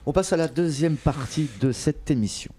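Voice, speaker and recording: A man's voice: on the high side, normal speed. A man from France hosting a political show and putting questions to his guest. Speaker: political show host, interviewer.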